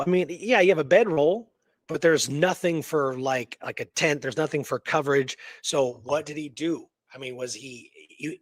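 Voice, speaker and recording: A man co-hosting a show, casually discussing a historical mystery in a halting, rambling way that repeats words. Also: medium pitch, a bit bright, dry recording. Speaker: co-host of a radio history show.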